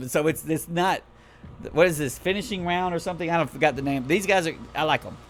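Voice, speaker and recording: A man's voice: fairly high pitch, fairly quick, slight background noise. A tired man giving a casual, rambling spoken game review late at night, swearing often and restarting his sentences. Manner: rambling, profane, many restarts and fillers.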